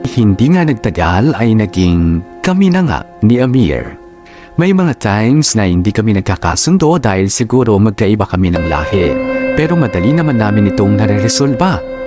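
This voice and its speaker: Low-voiced man narrating over music. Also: big pitch swings, moderate pace. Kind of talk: scripted first-person love-story narration.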